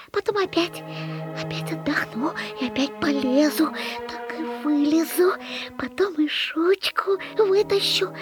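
A small, frightened boy in an audio story. The voice is very high and takes its time, breathy and halting as he talks himself into being brave. Music plays clearly behind. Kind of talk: audio drama acting.

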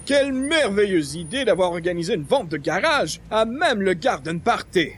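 A man's voice: high-pitched, very animated, moderate pace, slight background noise. A man voicing a pompous, blasé talking cat with flowery, formal diction, in radio comedy style. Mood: smugly delighted. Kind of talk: old-time radio comedy acting.

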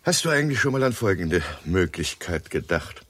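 An actor playing an optimistic astronomer who argues and explains, in a 1960s German radio drama. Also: male voice, sits low, very animated, moderate pace, fairly bright tone, slightly rough, full character voice. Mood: musing, reasoning. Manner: argumentative, explanatory. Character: optimistic, reasoning male astronomer colleague.

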